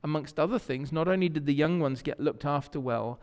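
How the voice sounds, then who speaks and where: Pastor preaching in a reverberant church. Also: male voice, on the high side, a little fast, clean tone. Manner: warm storytelling, repeats words when searching. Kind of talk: conversational sermon. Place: church sanctuary.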